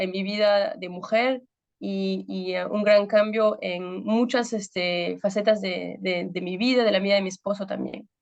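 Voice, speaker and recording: A woman in her thirties, a pastor from Peru, with a Peruvian Spanish accent touched by French, giving a women's ministry talk and pausing often with fillers to search for words. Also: mid-range voice, lo-fi sound. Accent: Peruvian Spanish with some French influence. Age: 30s.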